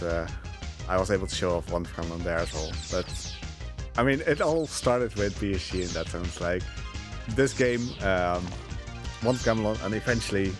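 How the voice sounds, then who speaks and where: A man giving casual live commentary on his own speedrun, rambling with hesitant asides as he plays. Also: lowish voice. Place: live gaming marathon event, game audio behind.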